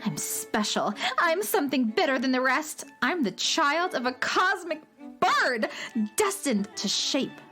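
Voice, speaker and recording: A woman's voice: sits high, big pitch swings, unhurried, pushed and bright, soft background music, full character voice. An actress playing a vain, bossy female hatchling in a children's radio comedy, boastful and dramatic. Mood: exultant, boastful. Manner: boastful, dramatic, critical of others' mistakes. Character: vain, bossy female hatchling seeking her grand origins.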